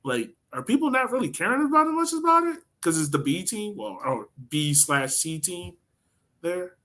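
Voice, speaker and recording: Bright-voiced man chatting casually about sports. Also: high voice, sing-song, normal speed. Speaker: soccer podcast co-host.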